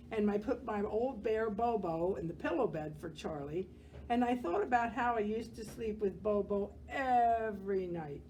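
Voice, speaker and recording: A woman reading a children's picture book aloud as a library storyteller, gentle and expressive, pausing to comment on the pictures. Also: medium pitch, slight background noise, rough recording.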